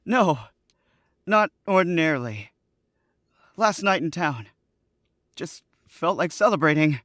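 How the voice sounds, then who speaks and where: A man's voice: fairly high pitch, animated, slow pace, fairly bright tone, no room sound, studio quality. An actor playing a nervous, gloomy young man in an old-time radio drama, hesitant and evasive.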